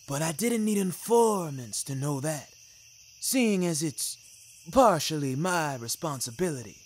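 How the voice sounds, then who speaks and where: A man's voice: a little high, lively intonation, measured pace, slight background noise. Theatrical old talking wolf, voiced by a man. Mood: conceding, persuading. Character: jovial, worldly old talking wolf, teasingly menacing. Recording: music bed under the voice.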